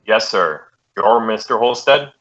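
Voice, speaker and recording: Terse american cop narrating old radio drama, deadpan, lowish sharp voice, dull lo-fi sound. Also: male voice, measured pace, slight background noise. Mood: terse, businesslike. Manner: deadpan, matter-of-fact, polite. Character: terse businesslike male police detective. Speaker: police detective and narrator. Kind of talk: 1950s radio police drama, clipped narration. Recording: dull, band-limited old radio recording.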